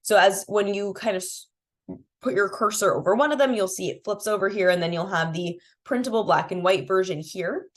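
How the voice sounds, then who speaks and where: A woman's voice: mid-range voice, fairly quick. A young adult woman, a bit congested and sniffly, walking viewers through a resource toolkit in a casual, chatty way with many fillers. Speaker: programs manager presenting a resource toolkit. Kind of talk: casual screen-share walkthrough.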